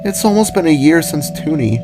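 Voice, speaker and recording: A young female wolf from an audio story, acted out by a man, anxious and emotional, pitched high. The words come haltingly, with stammers, over background music. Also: lively intonation, close to an everyday voice. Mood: sad, anxious.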